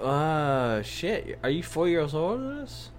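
A man's voice: a little high, big pitch swings, a little slow, slight background noise. A young man bantering casually in an incredulous, teasing, profane manner.

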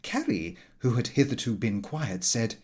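A man reading a comic first-person diary aloud in an expressive, fussy storytelling manner. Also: medium pitch, unhurried, breathy edge. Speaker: audiobook narrator.

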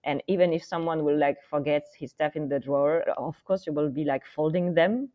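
A woman with a fairly low voice tells a story quickly, as an interview guest, with many fillers. The recording sounds dull and lo-fi. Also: fairly even intonation. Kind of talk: casual interview chat.

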